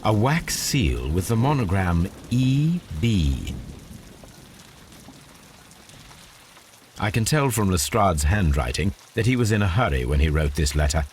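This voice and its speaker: An actor playing an aloof, analytical Victorian gentleman detective in a video game, with a British accent and a precise, formal, lecturing manner. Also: low voice, sing-song, moderate pace, hardly any pauses, slight background noise. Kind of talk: scripted video game acting.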